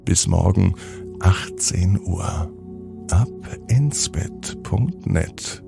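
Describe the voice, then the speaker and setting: A man narrating a scripted children's bedtime story in a gentle, unhurried way, with deliberate pauses. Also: deep voice, vocal fry, music in the background.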